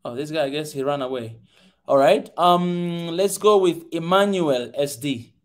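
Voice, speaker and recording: A man with a Tanzanian English accent hosting a livestream and talking straight to his viewers, energetic, often repeating words as he goes. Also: fairly high pitch, measured pace. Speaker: livestream host. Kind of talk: casual livestream talk.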